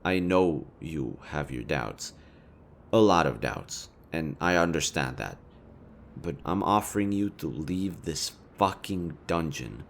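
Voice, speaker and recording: A man's voice: extremely low pitch, expressive, slow pace, a little hiss or hum, heavily acted. A man voicing a smug, teasing but caring supervillain in an intimate audio roleplay, talking conversationally and straight to the listener. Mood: earnest, persuasive. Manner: teasing, conversational, swinging from playful to earnest. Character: smug, teasing yet caring male supervillain, city-wide rival.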